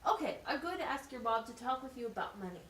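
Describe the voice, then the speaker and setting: A woman's voice: a little high, animated, fast, a bit bright. A woman voicing an encouraging, methodical teacher in a scripted puppet show, explaining things plainly and asking questions. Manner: instructive, question-led, plain wording. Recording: roomy, distant mic, faint noise.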